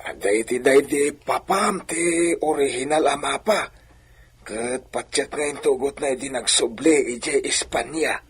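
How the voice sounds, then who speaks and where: An actor playing a deferential, scheming old man in a radio drama, with a raspy, coaxing delivery. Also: medium pitch, unhurried. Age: older.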